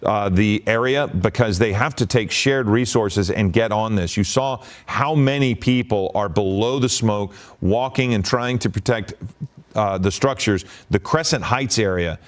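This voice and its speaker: A man anchoring live breaking news coverage, ad-libbing in a halting way with repeated words. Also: on the low side.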